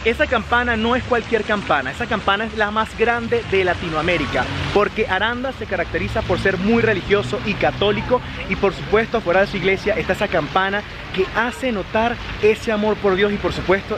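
A young Venezuelan man narrates a travel vlog in an emphatic, casual way. His voice is high for a man, recorded at arm's length outdoors with clear street noise. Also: brisk. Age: young adult. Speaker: travel vlogger. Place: outdoor town plaza. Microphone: camera at arm's length.

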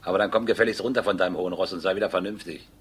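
An actor playing a menacing, sneering crook who mocks and threatens in a crime radio drama. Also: male voice, low-pitched, racing, breathy edge. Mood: mocking. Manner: mocking, threatening. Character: menacing, sneering male crook bullying his former lover.

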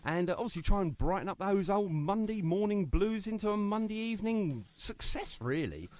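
Chatty radio host, lively pitch. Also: male voice, moderate pace, dry recording. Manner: chatty, jokey, self-mocking. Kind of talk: casual radio interview.

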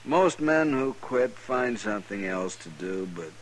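An American actor playing an ashamed, self-pitying failed homesteader with a drinking problem in a 1950s radio western. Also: male voice, on the low side, lively intonation, low-quality recording. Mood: defeated, ashamed. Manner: halting, self-pitying, stammering. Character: ashamed, broken-down alcoholic failed homesteader on the frontier. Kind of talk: 1950s radio drama acting. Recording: hissy 1950s radio recording.

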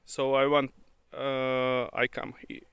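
A non-native English-speaking man, a beekeeper, demonstrating outdoors in a grassy field and talking haltingly with many fillers. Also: medium pitch, monotone, clean tone, dry recording, lo-fi sound. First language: not English. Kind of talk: hands-on demonstration. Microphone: handheld camera mic. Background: bees buzzing.

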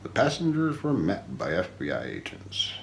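A middle-aged man narrates a mystery in an ASMR documentary style. His voice is very low and creaky, with intonation that swings widely from phrase to phrase. He keeps a measured, solemn storytelling delivery. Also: huge pitch swings, slight background noise. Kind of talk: ASMR scripted narration, true-crime documentary role play. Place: small indoor room.

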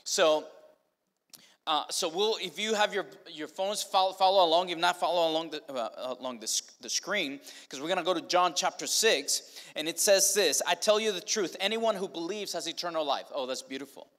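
Middle-aged Mexican-accented pastor, slightly high voice, chatty and joking, long hall reverb. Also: male voice, moderate pace, fairly bright tone. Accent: Mexican Spanish. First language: Spanish. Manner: chatty, humorous, many restarts and fillers. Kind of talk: casual sermon to college students. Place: large stage of a church or college auditorium. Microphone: handheld mic.

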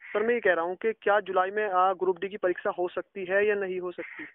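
A man's voice: sits high, flat delivery, a little fast, slight background noise. A man calling an office to ask a question, polite and deferential, repeating himself. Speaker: caller. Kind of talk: phone enquiry. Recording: tinny dry phone line.

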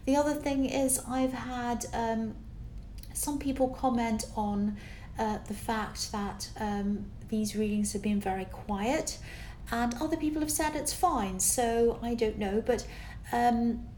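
Croaky, tired woman giving a rambling tarot reading. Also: a little high, steady tone, slow pace, warm tone, slight background noise, low-quality recording. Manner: rambling, filler-heavy, encouraging. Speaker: tarot reader. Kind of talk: casual tarot reading to camera. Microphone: camera with external microphone.

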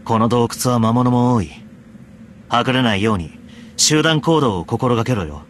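A man's voice: medium pitch, moderate pace, slight background noise, lightly acted. A man voicing a young knight in an anime game, blunt and sardonic, exasperated but caring. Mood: serious, commanding. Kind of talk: Japanese anime game voice acting. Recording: light background music.

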